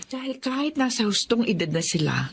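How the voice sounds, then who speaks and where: A woman's voice: on the high side, very animated, a little fast, gravelly, slight background noise. A woman playing a worried, long-suffering older mother in a drama, plaintive and earnest as she speaks to and about her grown children. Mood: sad, weary. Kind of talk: Filipino radio-style drama acting.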